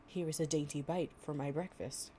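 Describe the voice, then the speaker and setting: A woman voicing a sly male fox who flatters sweetly in a storybook fable. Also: sits low, moderate pace, slight background noise, natural voice. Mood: sly, pleased.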